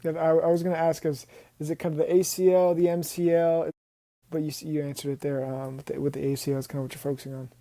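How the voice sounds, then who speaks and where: A man hosting a podcast speaks in a soft, very dark tone, a bit higher than most men. He asks his questions haltingly, and the recording is dry and close. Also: little pitch movement, no echo. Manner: hesitant questions, short acknowledgments. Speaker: podcast host. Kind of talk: casual interview talk.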